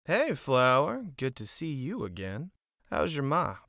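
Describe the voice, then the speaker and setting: A man's voice: mid-range voice, huge pitch swings, unhurried, fairly bright tone, no echo, clean studio sound, exaggerated voice. A man playing a roguish pony petty crook in an audio drama, cocky and wry but loyal, with a streetwise way of talking. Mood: cheeky.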